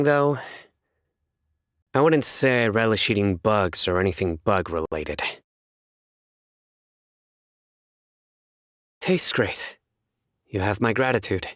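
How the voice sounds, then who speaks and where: A man voicing a stern, principled young judge in a video game, reserved, with measured, formal delivery. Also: on the low side, fairly bright tone, dry recording, clean studio sound. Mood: calm, appreciative. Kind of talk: scripted video game character voice lines.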